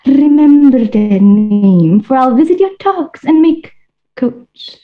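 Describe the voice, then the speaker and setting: A woman's voice: high-pitched, animated, takes it slowly, faint music behind. A woman playing a vain, flamboyant female fashion-designer villain, with a theatrical, mocking drawl full of "darlings". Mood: grandly haughty. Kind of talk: stage monologue in character. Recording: dull lo-fi recording.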